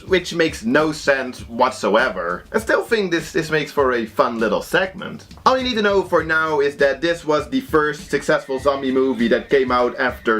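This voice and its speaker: Animated Dutch-accented man reviewing films. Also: mid-range voice. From Netherlands. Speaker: video host reviewing horror films. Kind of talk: casual review commentary.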